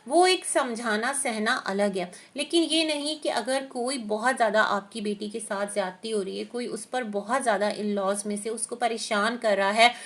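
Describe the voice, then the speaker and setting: A woman with a somewhat high voice and a sore throat gives reaction commentary. She lays out her opinions in a steady, explanatory way. Her talk is full of small hesitations as she searches for words. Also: moderate pace, few pauses. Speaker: reaction vlogger.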